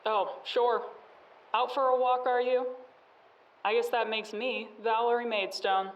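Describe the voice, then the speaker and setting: A woman's voice: on the high side, flat delivery, measured pace, muffled recording, natural voice. A woman playing a cocky, sarcastic bandit in an audio drama, taunting and dry, heard at a distance. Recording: echoey, distant from the microphone.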